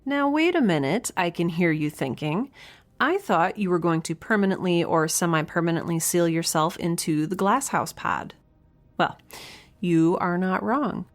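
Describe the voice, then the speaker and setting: A woman's voice: fairly low pitch, expressive, normal speed. An actress playing a young researcher alone on a spaceship, chatting into her log in a wry, rambling, nervous and self-deprecating way. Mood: rueful, joking. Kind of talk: audio drama, spoken log in a casual chatty manner.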